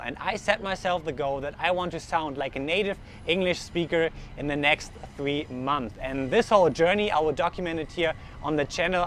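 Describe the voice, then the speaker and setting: A young man with a German accent speaks in a slightly high voice, earnest and casual, often restarting his sentences as he searches for words. He vlogs outdoors on a sunny street, picked up by the camera mic, with faint traffic and city noise behind him. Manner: earnest, thinks aloud with frequent restarts. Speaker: vlogger. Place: outdoors in the sun, urban street.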